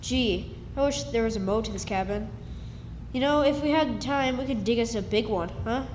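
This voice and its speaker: Woman playing high-voiced scheming country boy. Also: moderate pace, a little mellow, slight background noise, lightly acted. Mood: eager, scheming. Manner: folksy, eager. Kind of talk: stage radio drama acting. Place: live stage in a hall. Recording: reverberant hall recording.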